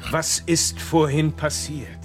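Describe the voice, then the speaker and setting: Calm physician, slow measured questions, soothing, radio drama, faint music behind. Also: male voice, fairly high pitch. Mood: calm concern. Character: calm, soothing male doctor questioning a patient. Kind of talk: German radio drama acting.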